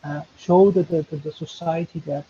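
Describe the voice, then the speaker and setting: A man from Turkey, a professor speaking on a webinar panel in a halting way, with many fillers and restarts. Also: a little high, fairly even intonation, mellow. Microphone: video call. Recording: dull lo-fi video call audio with faint hiss.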